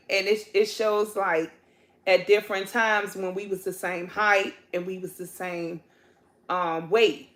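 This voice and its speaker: A woman with a Washington, D.C. accent talks at a middle pitch in a relaxed couch chat. She tells stories playfully and laughs easily, with loose, casual grammar. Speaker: vlog co-host, a twin.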